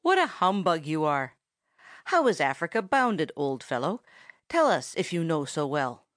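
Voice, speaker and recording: A woman voicing an eager, good-natured young boy in a storybook, polite and excitable. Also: sits low, a little slow, dry recording, clean studio sound, exaggerated voice. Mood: amused, teasing.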